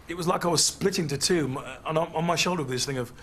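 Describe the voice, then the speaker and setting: A man's voice: fairly high pitch, fast talker, a bit bright, slight background noise. A man in an interview, recalling the past and slipping into quoted voices. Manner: storytelling, slips into voices. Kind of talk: reflective interview.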